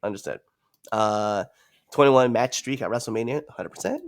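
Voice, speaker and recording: A man's voice: medium pitch, moderate pace, no echo, studio quality. A man co-hosting a wrestling podcast, trading jokes in loose banter, laughing often and swearing freely. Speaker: podcast co-host.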